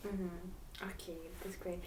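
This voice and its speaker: A woman's voice: lowish voice, monotone, slow. Hesitant woman interviewer, distant and roomy. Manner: hesitant, many fillers and restarts while asking. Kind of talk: asking interview questions.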